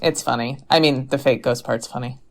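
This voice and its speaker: A woman's voice: very deep voice, fairly even intonation, a little fast. A woman playing a skeptical, dryly exasperated podcaster, telling tales in casual, matter-of-fact banter. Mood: dry, dismissive. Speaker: podcast co-host. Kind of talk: casual scripted storytelling banter.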